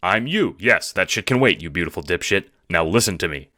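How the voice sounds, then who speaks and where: A man's voice: sits low, animated, a little fast, pushed and bright, no room sound, clean studio sound, pitched above the performer's own voice. A man voicing a bossy, urgent teenage boy who speaks in an insistent, sweary way.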